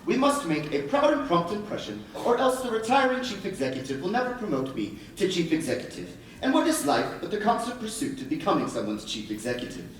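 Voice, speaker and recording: Pompous stage-play father, fussy and flustered, hugely animated pitch, echoey distant theatre recording. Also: brisk, hardly any pauses, slight background noise. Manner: pompous, theatrical, fussily wordy. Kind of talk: theatrical stage comedy acting.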